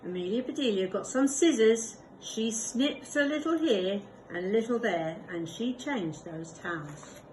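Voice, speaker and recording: A woman, a primary school teacher, reading a picture book aloud with expressive storytelling and little asides. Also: fairly high pitch, a little slow, a little hiss or hum, poor audio.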